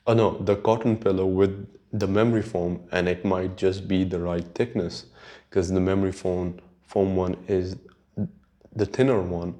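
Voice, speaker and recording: Slow, low-voiced man with Urdu accent. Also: dark tone. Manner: unhurried, halting, sweary. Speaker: podcast host. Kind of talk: casual rambling solo podcast chat.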